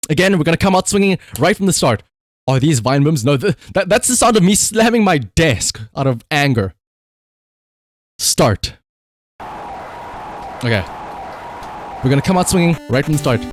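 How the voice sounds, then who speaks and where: A man with a South African accent commentating on a live gaming stream and calling out voice commands in an excitable way. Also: a little high, measured pace, long pauses, dry recording. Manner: animated, excitable, exclamatory. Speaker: livestreamer.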